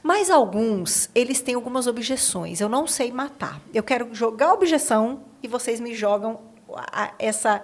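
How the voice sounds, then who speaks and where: High-voiced Brazilian woman hosting a podcast. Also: expressive, moderate pace. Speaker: podcast host; marriage therapist. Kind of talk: conversational podcast interview.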